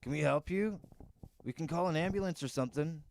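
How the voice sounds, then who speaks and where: Man as worried boy, higher pitch, hurried, insistent, storybook character. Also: creaky. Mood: worried, urgent. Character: worried boy, eager to help.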